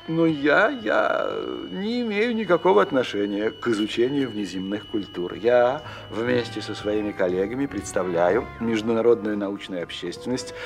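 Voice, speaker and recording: A learned official explains himself in a radio drama, measured and self-important. The voice has a gravelly creak and hesitates deliberately, with faint music behind it. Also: male voice, mid-range voice. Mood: earnest explanation. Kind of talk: radio play acting as interview answer.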